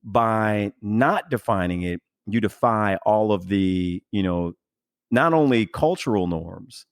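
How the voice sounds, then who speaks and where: A man's voice: fairly low pitch, lively intonation, slow, no room sound, studio quality. A man hosting a podcast in casual, reflective conversation, speaking earnestly and emphatically as he searches for his words. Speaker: podcast host.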